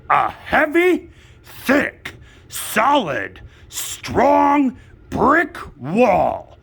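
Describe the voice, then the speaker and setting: A man voicing a lovable, easily frightened furry monster in a children's storybook puppet show, with a raspy voice and melodramatic delivery. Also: fairly high pitch, sharp tone, exaggerated voice. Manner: melodramatic, drawn-out, emphatic delivery without contractions.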